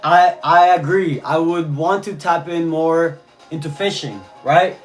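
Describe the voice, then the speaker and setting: Man from cuba, live stream chat, slightly high voice, faint music behind. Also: steady tone, a little slow. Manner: reads chat aloud, answers viewers, repeats phrases. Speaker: livestreamer.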